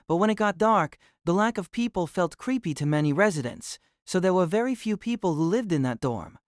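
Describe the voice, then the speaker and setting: A male narrator telling the story in an even, measured reading. His voice sits slightly high, and the recording is dry and close. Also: dry recording. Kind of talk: scripted novel narration.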